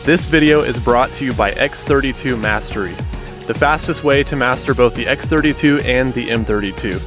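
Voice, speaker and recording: A man reading a short scripted sponsor ad in a crisp, promotional manner. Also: mid-range voice, normal speed. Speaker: ad announcer. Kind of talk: scripted ad read. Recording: voice-over with clear music bed.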